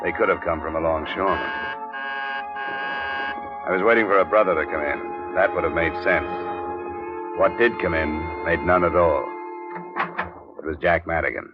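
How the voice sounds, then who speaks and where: Actor as a low-voiced, wry radio private eye. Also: male voice, fairly even intonation, normal speed, long pauses, lightly acted. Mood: dry narration. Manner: clipped, sardonic. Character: tough, wry private investigator narrating his case. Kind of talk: 1950s radio detective drama acting. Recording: dull old radio recording with music.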